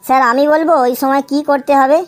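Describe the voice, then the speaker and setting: A woman's voice: high voice, steady tone, quick pace, lightly acted. A woman voicing a cheeky, silly young schoolboy in a comedy cartoon. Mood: cheeky earnest. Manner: cheeky, earnest silly answers. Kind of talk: comedy cartoon dialogue in Bengali.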